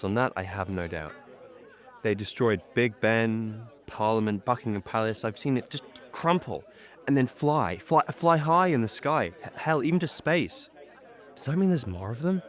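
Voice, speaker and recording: A young man playing a despairing radio reporter who witnesses an alien invasion, speaking haltingly in a dramatic monologue. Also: medium pitch, animated, a faint crowd, natural voice. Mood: bleak, shaken. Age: young adult. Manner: halting, muttering, breaking off.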